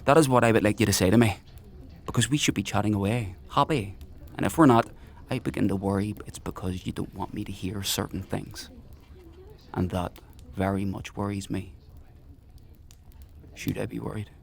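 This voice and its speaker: An actor playing a menacing, paranoid military paragon in a dark fantasy audio drama, mock-friendly and needling in his drawn-out questions. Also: fairly low pitch, fairly even intonation, gravelly. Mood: quiet menace.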